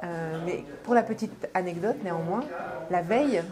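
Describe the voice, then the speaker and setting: A woman's voice: lowish voice, moderate pace. A middle-aged woman, a former teacher turned psychopedagogue, telling a personal story in an interview, with frequent hesitations. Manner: warm storytelling, many fillers. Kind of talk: vox pop interview. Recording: busy crowd behind.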